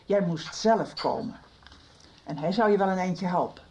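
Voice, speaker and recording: A woman's voice: on the low side, moderate pace, mellow, a little hiss or hum, lightly acted, low-quality recording. An actress playing a chatty, welcoming middle-aged Dutch woman in a radio soap.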